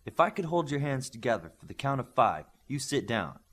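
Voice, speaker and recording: Male narrator as young superheroine, confident, cool, daring, matter-of-fact audiobook dialogue, mid pitch. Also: big pitch swings, fairly quick, fairly bright tone. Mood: cool challenge.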